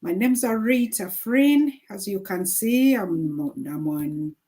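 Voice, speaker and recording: A woman from Uganda, a social worker, introducing herself calmly on a video call. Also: mid-range voice, takes it slowly, slight background noise. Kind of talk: casual panel chat.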